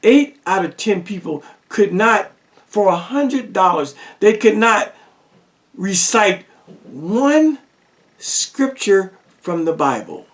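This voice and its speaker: A man's voice: high voice, very animated, takes it slowly, recorded from a distance. A man giving a lecture-style religious teaching monologue, pressing his points with rhetorical questions and repetition.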